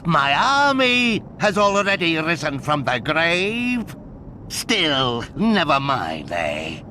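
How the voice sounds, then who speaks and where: A theatrical sorcerer villain for a video game, gloating and sneering. Each phrase is dragged out extremely slowly and leaps around in pitch, over a noisy rumble. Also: male voice, huge pitch swings, pushed and bright, heavily acted. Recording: clearly noisy, low rumble behind.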